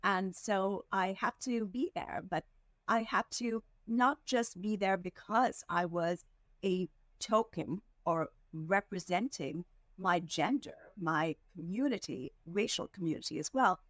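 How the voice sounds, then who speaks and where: A woman from India, a fundraising executive, giving earnest, measured answers as a podcast guest and slowing down to stress her points. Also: medium pitch, a bit bright, no room sound. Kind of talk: reflective interview answers.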